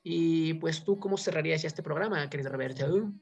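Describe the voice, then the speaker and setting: A woman's voice: deep voice, fairly quick, darkish tone, breathy edge. A Mexican woman hosting a talk show, giving her opinions in an informal, discursive way and often checking for agreement.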